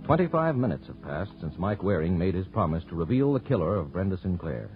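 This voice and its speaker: A man's voice: low-pitched, big pitch swings, brisk, over loud music. A man announcing on 1940s radio in a polished, emphatic sales style. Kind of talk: 1940s radio advertising and narration. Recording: dull old radio recording.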